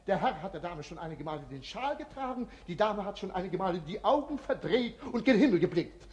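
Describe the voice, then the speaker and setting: A man's voice: high voice, animated, a little fast, darkish tone. An actor playing a mischievous, mocking jester who announces with mock-grand showman's patter in a radio play. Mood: mocking, showy. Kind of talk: 1950s German radio play acting. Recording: reverberant old radio studio hall.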